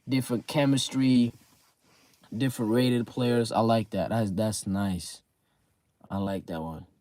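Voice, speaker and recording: A man's voice: mid-range voice, no echo. A man streaming live, chatting casually with viewers about a game league, rambling with lots of fillers and repeated words, his throat sore. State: sore throat, voice getting low.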